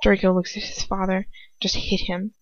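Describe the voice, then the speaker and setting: A woman reading a story aloud as an amateur narrator, expressive, with the occasional stumble over words. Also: mid-range voice, normal speed, no echo. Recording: dull lo-fi close home recording.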